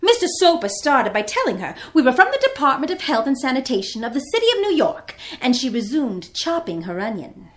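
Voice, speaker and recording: A woman's voice: high-pitched, sing-song, fairly quick, hardly any pauses, slight background noise. A woman playing an earnest sixteen-year-old girl who tells her diary as a vivid, theatrical radio drama narration. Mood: fascinated.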